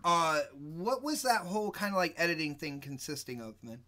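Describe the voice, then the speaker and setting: A man hosting a casual gaming podcast, teasing his co-hosts and laughing loudly. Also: a little high, very animated, normal speed. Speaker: podcast host.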